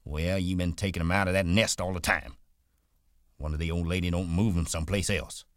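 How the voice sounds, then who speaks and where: A man's voice: sits low, steady tone, brisk, fairly bright tone, no room sound, clean studio sound. A man voicing a proud, bitter, aloof stable hand who speaks sharply and defensively. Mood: scornful.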